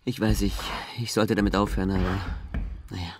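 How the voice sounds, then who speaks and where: An actor playing a cynical, resigned late-night radio host in a radio drama, talking in a sardonic, coarse, casual way. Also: male voice, on the low side, little pitch movement, a little fast, slight background noise, lightly acted. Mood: bitter, sardonic. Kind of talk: German radio drama acting.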